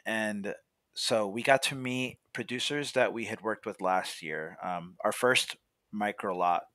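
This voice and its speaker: A man's voice: fairly low pitch, a little slow, bright, forceful tone, no echo. A young American man talking in a chatty, casual storytelling way as a podcast guest, peppering his talk with "like" and "you know". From Monrovia, California. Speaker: podcast guest, coffee roaster.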